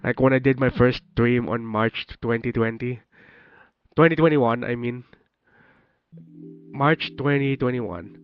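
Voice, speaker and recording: A man's voice: mid-range voice, steady tone, measured pace, long pauses, clean tone, dry recording. A man in his twenties with a Filipino accent, a bit under the weather, chatting casually with his stream viewers, laughing often and teasing them with joking swears. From Philippines. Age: 20s. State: slightly sick. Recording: dull lo-fi stream audio with faint music.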